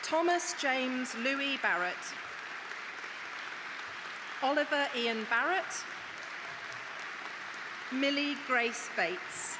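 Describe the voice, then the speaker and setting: Slow, formal woman reading names. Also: sits high, lively intonation. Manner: measured, formal name-by-name reading. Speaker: head of school presenting graduates. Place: large ceremony hall. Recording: loud crowd applause and ambient noise.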